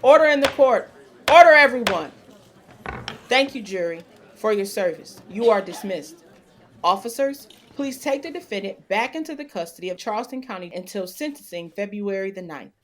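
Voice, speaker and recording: A woman playing a judge who instructs the jury and runs the courtroom in an audio drama, in a measured, formal way. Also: medium pitch, expressive, a little hiss or hum, close to an everyday voice. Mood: commanding. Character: stern female judge presiding over court.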